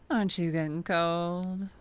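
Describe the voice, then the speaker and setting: A woman playing a tall, teasing, predatory vampire trucker with a Southern US accent and a flirtatious drawl, in an ASMR roleplay. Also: lowish voice. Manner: slow teasing drawl with chuckles and pet names.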